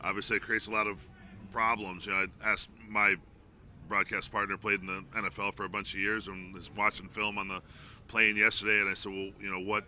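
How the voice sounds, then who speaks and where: A man's voice: lowish voice, little pitch movement, brisk, pushed and bright, slight background noise. A man who works as a play-by-play announcer, giving informal, discursive sports analysis as an interview guest.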